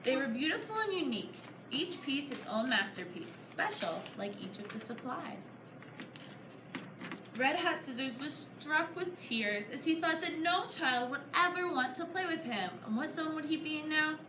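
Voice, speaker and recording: A woman reading a storybook aloud to a class of children, pausing to put questions to them in an encouraging, teacher-like way. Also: high voice, animated. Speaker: author reading her book to a class. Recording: echoey room, clear background noise. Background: children answering, room noise.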